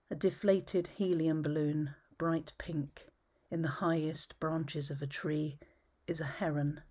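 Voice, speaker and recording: A woman reading her own poems at home, measured and thoughtful, often trailing into a whisper. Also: low-pitched, a little mellow, slightly rough. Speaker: poet. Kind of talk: poetry reading. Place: home study with bookshelves, indoors.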